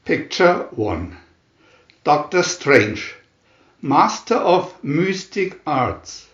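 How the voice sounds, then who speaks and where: A man narrates comic book captions in a theatrical announcer manner, very slowly and with long pauses. His voice is a bit high for a man and swings widely in pitch. The room adds noticeable echo. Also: very animated, fairly bright tone, muffled recording. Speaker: narrator reading View-Master booklet captions.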